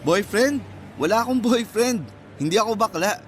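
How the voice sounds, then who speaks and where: A man's voice: sits high, lively intonation, moderate pace, slight background noise. A man playing a modest, good-natured young man in a Filipino radio drama, friendly and lightly teasing. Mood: modest, amused.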